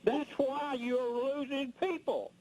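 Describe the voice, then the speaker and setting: A man's voice: extremely high pitch, steady tone, slow pace, darkish tone, a little hiss or hum, poor audio. A combative man, calling a radio show to rant angrily and repeating himself as he scolds. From rural United States. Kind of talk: angry phone-in rant.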